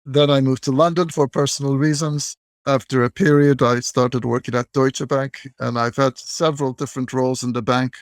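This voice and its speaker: A middle-aged man from Turkey, a guest in an interview, explaining his career in a measured storytelling way. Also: mid-range voice, fairly even intonation, dry recording, clean studio sound. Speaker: guest, bank technology executive. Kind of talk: interview answers.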